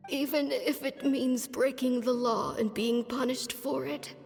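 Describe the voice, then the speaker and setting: A woman's voice: high-pitched, fairly even intonation, unhurried, creaky. An actress playing a stubborn, ailing old witch who works as a doctor and mentor, weary, grave and wry, in a dramatic video game style. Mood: resolute. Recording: long reverb, game music underneath.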